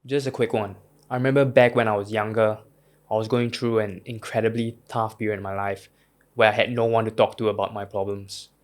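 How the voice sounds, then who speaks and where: A man's voice: lowish voice, little pitch movement, a little fast. A man reading a scripted advertisement in a personal, sincere tone. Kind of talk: scripted ad read.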